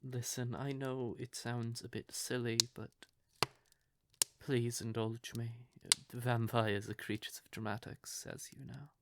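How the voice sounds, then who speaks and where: A man playing a courtly, possessive vampire lover in an audio roleplay, speaking theatrically and deliberately with syrupy endearments and breathy, quietly menacing undertones. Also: mid-range voice, flat delivery, heavy background noise, lightly acted. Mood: soothing, coaxing. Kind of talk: scripted audio roleplay addressed to the listener.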